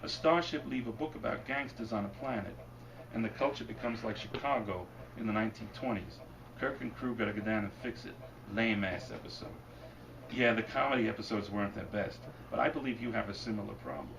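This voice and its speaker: A man reading his fiction aloud at a bookstore event, deadpan and wry, and losing his voice. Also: fairly low pitch, fairly quick, creaky, a crowd in the background. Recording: distant mic, noisy room. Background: audience laughter.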